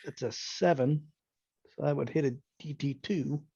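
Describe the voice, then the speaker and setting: Male tabletop player, dark mellow tone, mid pitch, casual table talk, muffled lo-fi recording. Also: normal speed, creaky, breathy edge. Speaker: player in a tabletop role-playing game. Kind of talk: casual game-table chat.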